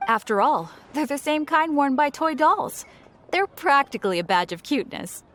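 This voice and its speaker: An upbeat shopkeeper. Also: female voice, very animated, normal speed, fairly bright tone, a little hiss or hum. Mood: enthusiastic. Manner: upbeat sales pitch. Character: chatty female shopkeeper, salesy and friendly. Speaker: voice actor. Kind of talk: video game dialogue. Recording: game audio with faint music.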